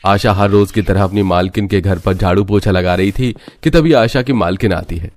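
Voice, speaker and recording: A man's voice: low-pitched, normal speed, a little mellow, no room sound. A man narrating a scripted cartoon story as the storyteller.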